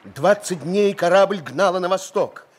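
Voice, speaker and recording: A man's voice: high voice, a little slow, slight background noise. A man narrating a sea adventure radio play in an emphatic, theatrical storytelling manner. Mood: dramatic storytelling.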